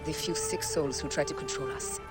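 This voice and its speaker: A man playing a resolute, stern assassin who speaks with cold, deliberate intensity in a video game cutscene. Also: on the high side, natural voice. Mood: cold resolve. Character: resolute, stern assassin, lover turned comrade. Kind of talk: dramatic game cutscene acting. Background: loud music.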